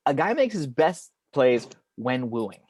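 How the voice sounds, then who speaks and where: A man's voice: medium pitch, very animated, takes it slowly, darkish tone, slightly rough, dry recording, studio quality, full character voice. A man playing a cynical, cocky angel of death in a film script table read, arguing in a slangy, opinionated way. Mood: argumentative.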